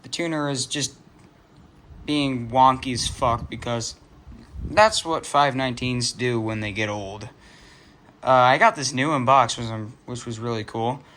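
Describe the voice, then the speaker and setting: A man's voice: mid-range voice, unhurried, a bit bright. A man giving a casual, unscripted show-and-tell as a hobbyist vlogger, rambling, trailing off and correcting himself as he goes. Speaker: hobbyist vlogger presenting a radio collection.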